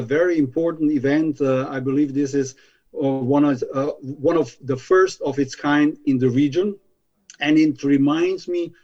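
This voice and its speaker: Slovenian-accented man presenter, mellow, slightly higher voice, halting delivery, dull recording. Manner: halting, heavy fillers. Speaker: conference speaker. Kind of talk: conference presentation, unscripted.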